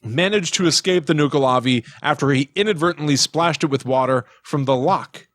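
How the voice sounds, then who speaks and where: A man's voice: fairly high pitch, brisk, fairly bright tone, no room sound, studio quality. A man hosting a podcast, telling stories in an informal, joking, conversational way. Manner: informal, joking, uses playful quotes. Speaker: podcast host. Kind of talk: casual storytelling chat with a co-host.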